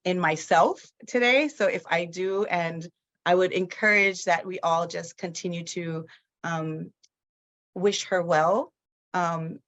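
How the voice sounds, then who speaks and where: A woman from Hawaii presenting a webinar, speaking slowly with many pauses and fillers. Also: fairly low pitch, a bit bright. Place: at home in Hawaii. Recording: dull lo-fi video call audio.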